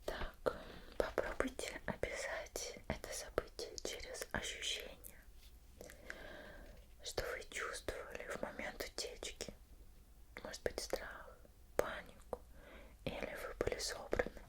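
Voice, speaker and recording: Whispering woman acting a patient investigator. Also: natural voice. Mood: calm probing. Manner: slow, probing questions with pauses. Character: female investigator questioning a lab disaster survivor, patient but suspicious. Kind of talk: ASMR roleplay.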